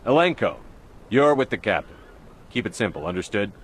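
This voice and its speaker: A man's voice: fairly low pitch, very animated, moderate pace, husky. An actor playing a stern male military commander in a video game, blunt, terse and authoritative. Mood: firm, commanding. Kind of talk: video game cutscene acting.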